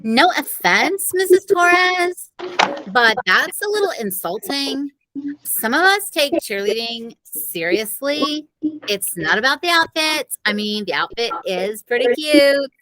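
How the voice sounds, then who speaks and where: A woman's voice: sits high, sing-song, slow, bright, forceful tone, a little hiss or hum, heavily acted, low-quality recording. A woman voicing an indignant, image-conscious teenage cheerleader with an emphatic, protesting manner in a children's audiobook. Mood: offended. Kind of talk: children's audiobook character reading.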